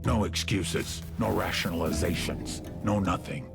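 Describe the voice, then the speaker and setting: A man's voice: sits low, measured pace, gravelly, music in the background. An actor voicing a grave, menacing cartel man, narrating a video game cutscene in a solemn, ominous manner.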